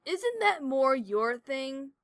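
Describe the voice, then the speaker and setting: A woman plays a brash young pony heroine from an audio drama. Her voice is high and sharp, recorded dry, and she sounds sarcastic, teasing and impatient. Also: sing-song, slow, no room sound, full character voice. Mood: smug. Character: brash, sardonic young female pony adventurer. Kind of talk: audio drama character acting.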